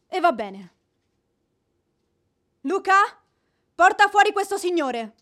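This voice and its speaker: An actress playing a proud, fiery widow in an Italian radio drama, speaking in a haughty, theatrical, indignant way. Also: female voice, very high-pitched, big pitch swings, takes it slowly, a bit bright, exaggerated voice.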